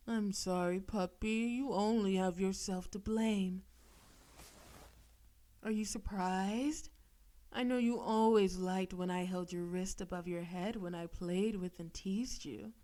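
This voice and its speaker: A woman's voice: medium pitch, mellow, close to an everyday voice. A woman playing a possessive, demon-possessed girlfriend in an intimate audio roleplay, sweetly condescending and teasing with veiled menace. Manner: slow, coaxing, teasing, with pet names and veiled threats.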